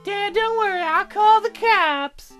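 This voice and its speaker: A woman's voice: very high voice, slow pace, a bit bright, soft background music, pitched above the performer's own voice, full character voice. A woman voicing a naive, frightened rich teenage boy hostage in a visual novel, pleading in a childish whimper. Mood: earnest, scared.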